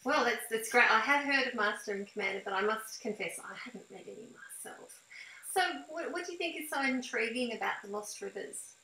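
Bright-voiced middle-aged woman interviewing, echoey. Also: fairly high pitch, expressive, fairly quick. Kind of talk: casual interview questions. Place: indoor room with window blinds. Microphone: video call. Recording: echoey room, slightly distant mic.

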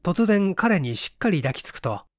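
A man reading a mystery story aloud as scripted narration, in a measured, suspenseful, theatrical manner. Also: high-pitched, very animated, no room sound, clean studio sound. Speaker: audiobook reader.

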